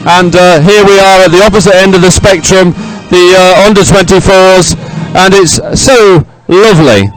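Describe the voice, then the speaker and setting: A man's voice: high voice, moderate pace, hardly any pauses, rough recording. Male host, talkative, many fillers, speaks from beside the podium, music playing faintly. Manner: chatty, rambling with many fillers. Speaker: sports commentator. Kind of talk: live sports commentary. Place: outdoors at a medal podium.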